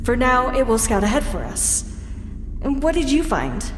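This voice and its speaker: A man voicing a composed young woman mage, speaking in a measured, polite falsetto. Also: sing-song, a little hiss or hum. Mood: calm. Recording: echoey hall with music.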